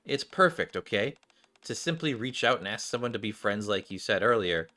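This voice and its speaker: A man's voice: on the low side, expressive, moderate pace, sharp tone. A man voicing a confident, encouraging woman mentor from a game, reassuring and direct.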